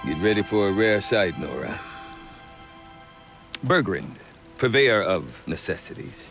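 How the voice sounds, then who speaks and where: An actor playing a grave, gruff older trader of a northern tribe in a video game cutscene. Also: male voice, on the low side, very animated, a bit bright, faint music behind, exaggerated voice. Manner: slow, grave, dramatic.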